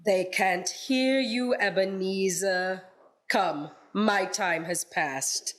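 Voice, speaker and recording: A woman playing a solemn, calm guiding spirit in a radio play, speaking in a measured way. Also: mid-range voice.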